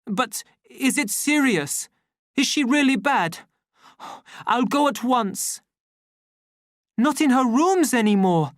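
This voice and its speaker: Furious young man, high and loud, shouting, read by a woman. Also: lively intonation, slow pace, long pauses, dry recording, studio quality. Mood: urgent.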